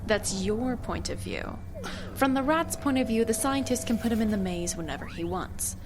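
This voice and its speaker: A woman's voice: fairly high pitch, lively intonation, moderate pace, lightly acted. A woman playing a cool, shrewd government agent in an audio drama, with a controlled, sardonic, evasive manner. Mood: matter-of-fact, persuasive. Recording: clear ambient noise behind dialogue.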